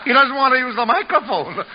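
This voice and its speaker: A muffled old radio comedy presents a bossy, excitable sponsor. His very high voice jumps around as he blusters. He sounds suspicious and repeats himself. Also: unhurried, exaggerated voice. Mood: strained joviality. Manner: repetitive, blustering. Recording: muffled old radio recording, faint noise.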